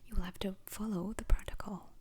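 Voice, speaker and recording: A woman playing a calm, formal instructor at a space agency in an ASMR roleplay, whispering slowly and haltingly with a breathy, husky voice. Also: medium pitch, lightly acted. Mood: calm, instructive. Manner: slow, deliberate, halting, formal.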